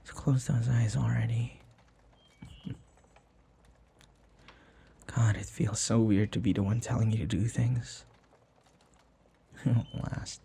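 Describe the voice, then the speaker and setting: A man acting in an intimate audio roleplay as a devoted young human servant, speaking in a soft, halting, tender and pleading way. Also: medium pitch, steady tone, creaky, husky, heavily acted. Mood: gentle, affectionate.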